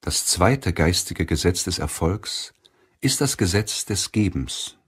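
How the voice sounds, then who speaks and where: A man reading a self-help audiobook as narrator, in measured, deliberate phrasing. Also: deep voice, lively intonation, breathy edge.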